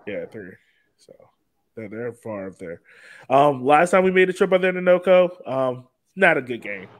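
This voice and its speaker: A man's voice: mid-range voice, sing-song, normal speed. A man hosting a casual sports talk show, chatting in an informal, joking way. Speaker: podcast host.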